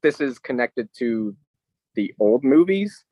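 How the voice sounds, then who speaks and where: A man with a medium-pitched voice and a close, dry sound. He co-hosts a podcast and talks in an informal, conversational way about films and games. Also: animated, takes it slowly, warm tone, dry recording. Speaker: podcast co-host.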